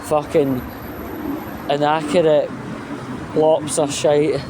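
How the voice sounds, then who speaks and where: Slow-talking, sweary man ranting over clear noise. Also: a little high, flat delivery. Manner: blunt, sweary, emphatic. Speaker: vlog host. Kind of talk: informal spoken rant.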